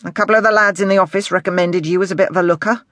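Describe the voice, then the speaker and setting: A man performs a brash male detective inspector for an audiobook. The voice is raised and forceful, blunt and used to being obeyed, with a very dry, close sound. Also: high-pitched, very animated, normal speed, dry recording, pitched above the performer's own voice. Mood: brisk, persuasive. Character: brash, commanding male police detective inspector, cheeky charmer. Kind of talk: audiobook character dialogue.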